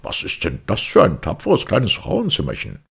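A grumpy, ancient little sandman, acted out by a male storybook reader, blusters and bosses. The voice is gravelly and very husky. It swings excitably up and down like a fairy-tale character. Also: fairly low pitch, normal speed, voice pushed up, full character voice. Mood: astonished. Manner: blustering, bossy.

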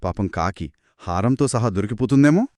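A man's voice: on the low side, expressive, moderate pace, warm tone, no echo, studio quality. A man co-hosting scripted children's storytelling in dialogue, speaking in a reactive, theatrical way. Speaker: co-host storyteller.

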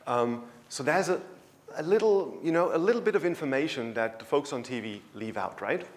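A man's voice: fairly high pitch, expressive, normal speed, slight background noise. A man giving a conversational science lecture in a large lecture hall, speaking into a handheld microphone with a storytelling manner.

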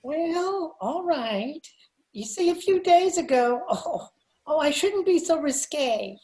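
Timid, prissy, very high-pitched man played by an actor. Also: animated, slow, darkish tone, exaggerated voice, low-quality recording. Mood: bashful. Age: older. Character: timid, prissy, mild-mannered male radio director. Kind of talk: amateur old-time radio comedy recreation. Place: living room by staircase, video call. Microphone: video call.

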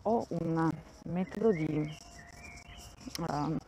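A woman's voice: deep voice, extremely slow, dark tone, slight background noise, rough recording. A woman giving a casual walking tour of a campground, thinking aloud and often restarting her sentences, recorded on a handheld phone outdoors. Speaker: vlogger touring a campsite.

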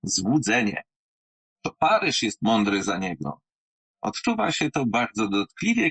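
Male literary narrator, lowish register, noticeably husky grain, wry stage-like delivery with measured pauses. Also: fairly bright tone, low-quality recording. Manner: theatrical, wry, with deliberate pauses and exclamations. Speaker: literary reader and presenter. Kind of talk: literary audiobook reading and theatrical introduction.

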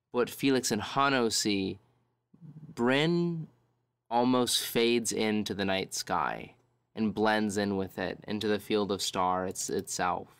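A man's voice: on the low side, fairly bright tone, clear, resonant tone, dry recording. A man narrating as game master of a tabletop role-playing game, describing scenes and searching for words.

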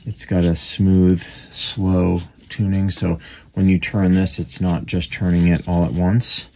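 A man talks through a repair in a very low, gravelly voice. His delivery is unscripted and halting, as he thinks aloud while he works. There is clear background noise in the workshop recording. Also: flat delivery, darkish tone. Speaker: radio repairer demonstrating his work. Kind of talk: casual hands-on repair commentary. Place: indoor workbench. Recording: noisy workshop recording with clicks.

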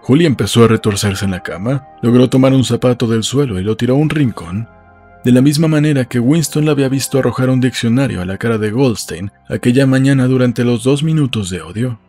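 A man's voice: mid-range voice, fairly quick, darkish tone, soft background music. A man reading scripted literary narration as the narrator of an audiobook.

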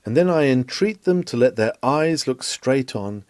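Earnest man preaching with animated pitch. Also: measured pace, dry recording, studio quality. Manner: earnest, pleading, emphatic oratory. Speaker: reader of a historic sermon. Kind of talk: read-aloud sermon, earnest exhortation.

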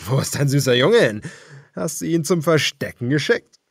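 Man playing a husky, taunting gang leader. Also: fairly high pitch, expressive, moderate pace, no room sound, clean studio sound, voice pushed up, heavily acted. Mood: mocking, menacing. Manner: mocking, menacing taunts. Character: cruel, taunting male leader of a cannibal gang. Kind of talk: audiobook character acting.

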